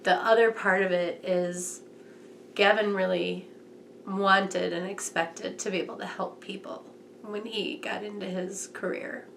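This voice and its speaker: A mother speaking in an interview in an earnest, measured way. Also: medium pitch, little pitch movement, gravelly, a bit bright, a little hiss or hum. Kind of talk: reflective interview.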